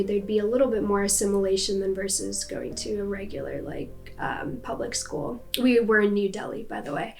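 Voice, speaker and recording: A young woman with an American accent telling a personal story in a chatty, casual way, with many fillers. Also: mid-range voice. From United States.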